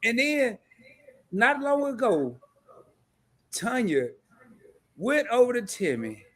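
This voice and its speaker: A man hosting a live-streamed interview in a casual, animated way, laughing often and using relaxed slang. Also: very high voice, slow pace.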